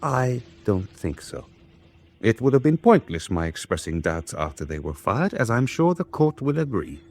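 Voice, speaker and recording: An actor playing a bitter, insubordinate submarine first officer in a radio drama, speaking in a cold, clipped, insinuating way. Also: male voice, lowish voice, big pitch swings, normal speed, darkish tone.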